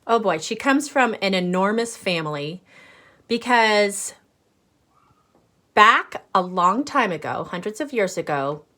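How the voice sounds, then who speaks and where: Slow-paced woman reading to children. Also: medium pitch, animated, pushed and bright. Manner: explains words and checks in with simple questions. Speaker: teacher reading a picture book aloud to children. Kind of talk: read-aloud storytelling with explanatory asides.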